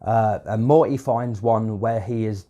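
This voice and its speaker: A man's voice: lowish voice, measured pace, mellow, lo-fi sound. A man with a cold and a stuffed-up nose, casually reviewing a show episode in a rambling way, with lots of fillers and swearing. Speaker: video reviewer.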